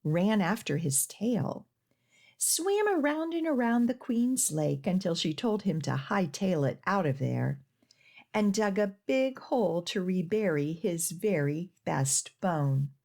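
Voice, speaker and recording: A woman children's librarian reading stories aloud and giving simple instructions in an expressive, deliberate way. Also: on the low side, vocal fry. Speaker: children's librarian hosting storytime. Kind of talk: read-aloud storytelling for young children.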